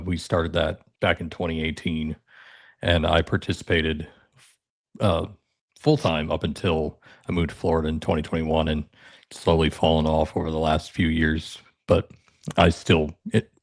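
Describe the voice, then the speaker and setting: A man with a deep radio voice, a podcast guest chatting easily about his soccer club. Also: level tone, moderate pace, dry recording, studio quality. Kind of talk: casual podcast chat.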